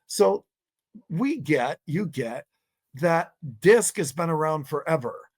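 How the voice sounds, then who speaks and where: A man's voice: fairly high pitch, lively intonation, slow, dry recording. A man hosting a conversational interview, rewording his questions as he goes. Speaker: podcast host and interviewer.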